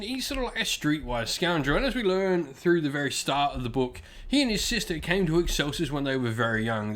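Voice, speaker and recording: A man hosting an informal book review in a conversational, explanatory way, leaning on key words. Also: medium pitch. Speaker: book reviewer and channel host.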